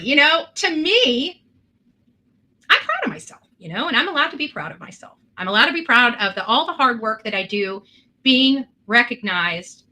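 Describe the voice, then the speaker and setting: Bright, high-voiced woman livestreaming. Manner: proud, defensive, repeats herself. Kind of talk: rambling livestream chat.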